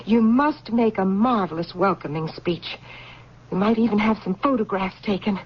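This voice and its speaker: A woman's voice: medium pitch, normal speed, breathy edge, natural voice. A woman playing an ambitious, gushing, persuasive general's wife in old-time radio drama style. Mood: excited, gushing. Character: ambitious, excitable general's wife, socially scheming. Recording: dull old radio recording.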